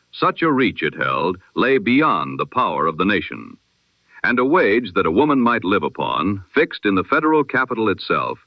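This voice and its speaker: A man reads a scripted news bulletin in 1920s radio style, formal and solemn, with measured oratorical delivery through an old-time radio filter. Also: fairly low pitch, dry recording. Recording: dull, band-limited vintage radio sound.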